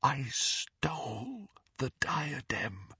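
A man's voice: mid-range voice, big pitch swings, measured pace, a bit bright, breathy edge, no room sound, muffled recording, voice pushed up, exaggerated voice. A man voicing a proud, cold young woman ghost in an audiobook, with a stiff, disdainful and guarded manner. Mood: confessional. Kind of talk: audiobook character voice.

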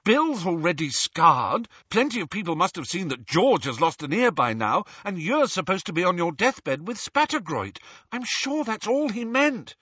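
An earnest teenage witch girl pleads in a raised, tearful, bright-edged voice, as a man reading the storybook puts her on. The husky tone stays under the lift, and the recording sounds a little dull. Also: sits high, sing-song, moderate pace, few pauses, no echo, pitched above the performer's own voice, full character voice. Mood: reasoning.

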